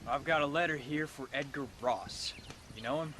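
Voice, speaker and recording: A man's voice: fairly high pitch, moderate pace, close to an everyday voice. A man playing a young American Western cowboy, polite but set on avenging his father, in a game cutscene. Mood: polite, earnest. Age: young adult. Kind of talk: video game cutscene acting. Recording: clear outdoor ambience noise behind.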